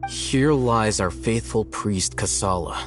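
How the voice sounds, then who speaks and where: A man voicing a calm, aloof male scholar speaking in a dry, matter-of-fact way. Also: lowish voice, takes it slowly, faint music behind. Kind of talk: video game dialogue.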